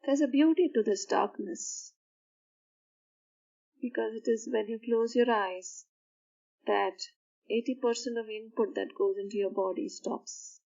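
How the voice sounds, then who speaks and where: A woman guiding a sleep meditation in a soothing, measured manner, pausing between short phrases. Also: fairly high pitch, creaky, mellow, dull audio. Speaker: meditation guide. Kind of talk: guided meditation for sleep, slow instruction.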